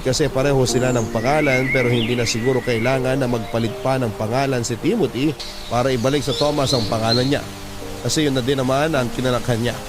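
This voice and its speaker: A man with a Filipino accent reading a letter as a radio host, in measured, heartfelt, dramatic narration. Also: mid-range voice. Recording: loud music under the voice.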